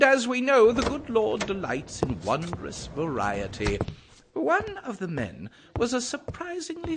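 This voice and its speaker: A man playing a genial, rambling priest who narrates a radio mystery tale in a gentle, digressive and whimsical manner. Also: fairly high pitch, slightly rough, heavily acted. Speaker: storyteller narrator.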